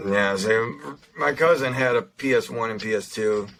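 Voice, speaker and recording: A young man with a slightly raspy voice, co-hosting a casual podcast, chatting and reading out news with lots of pauses and fillers. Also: fairly low pitch, fairly bright tone. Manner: halting, lots of fillers and restarts. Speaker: podcast co-host.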